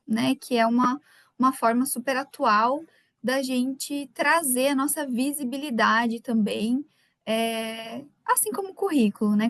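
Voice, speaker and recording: Casting: a woman with a slightly high voice and a light Gaúcho accent. She is a chatty webinar host who greets viewers by name. Dry, close computer mic. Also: dry recording. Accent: light Gaúcho Brazilian Portuguese. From Rio Grande do Sul, Brazil. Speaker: webinar speaker. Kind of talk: live webinar talk.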